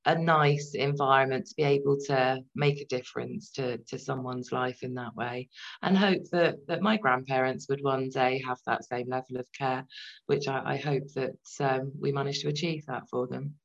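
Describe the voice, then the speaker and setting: A woman's voice: rumbling low, steady tone, normal speed, creaky. Brit woman in her 40s on a podcast, lots of ums, muffled-ish audio. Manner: reflective, many ums and restarts. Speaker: guest entrepreneur. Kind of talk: podcast interview.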